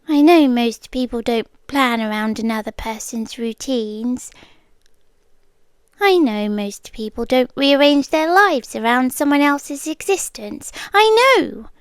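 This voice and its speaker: A British woman performs a young girlfriend whose devotion has tipped into obsession, calm and intimate with an unsettling edge. Her high voice moves slowly, sliding into soft whispers right up against the mic. The sound is dry and close, with no room around it. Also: dry recording. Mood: tender, eerie. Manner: soft-spoken, slipping into whispers. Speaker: ASMR roleplay performer. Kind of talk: ASMR roleplay.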